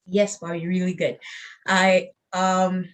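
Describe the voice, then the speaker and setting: A woman from Jamaica speaks on a panel discussion. She explains slowly through examples in a measured way. Her voice sits a little low. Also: flat delivery. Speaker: youth workshop facilitator.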